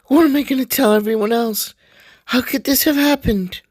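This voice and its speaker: A woman's voice: fairly high pitch, normal speed, darkish tone, no echo, clean studio sound, lightly acted. A woman playing a determined young investigator in a radio drama, pleading and distressed. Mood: distraught. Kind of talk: amateur radio drama acting.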